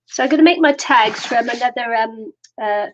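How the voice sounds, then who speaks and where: A woman's voice: a little high, moderate pace, dull audio, slight background noise. An older woman from the Welsh borders, chatty and self-deprecating, rambling through a casual craft tutorial. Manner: chatty, rambling, laughs often. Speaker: crafter streaming a zine tutorial.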